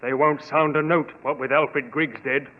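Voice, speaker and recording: An actor playing an aggrieved, surly villager in an old-time radio drama, blunt and grumbling in a rustic English accent. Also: male voice, on the high side, fairly even intonation, normal speed, close to an everyday voice. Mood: bitterly defiant. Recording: muffled, thin old radio recording.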